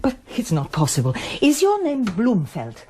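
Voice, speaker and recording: Wistful older woman, expressive pitch. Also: moderate pace, a little hiss or hum. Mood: tentative. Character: wistful, reserved older woman back in childhood home. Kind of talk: radio drama acting.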